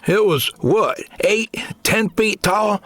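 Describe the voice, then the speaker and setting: A shaken, foul-mouthed soldier in an audiobook war thriller , acted out by a male narrator. The voice jumps well up in pitch and exclaims in rough, colloquial language. The recording is very dry. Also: takes it slowly, fairly bright tone, no echo, full character voice. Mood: shaken, alarmed. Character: rattled, foul-mouthed soldiers yelling in a jungle.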